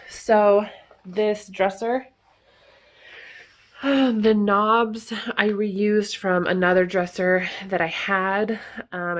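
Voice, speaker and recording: A woman in her thirties with a stuffed-up nose, rambling casually as she films a home tour at arm's length, with frequent ums. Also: on the low side. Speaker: vlogger. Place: family home, kitchen and kids' bedroom, indoors. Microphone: handheld camera at arm's length.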